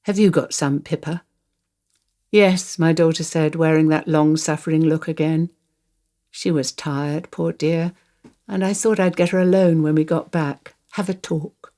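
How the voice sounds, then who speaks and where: A woman's voice: low voice, little pitch movement, normal speed, mellow. An older woman reads a radio play monologue as conversational first-person storytelling, in a wry, confiding way.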